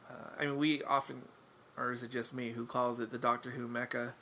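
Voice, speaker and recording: A man's voice: medium pitch, flat delivery, fairly quick, pushed and bright, slight background noise. A man chatting casually as a podcast guest, wry and self-deprecating, rambling with lots of fillers. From England.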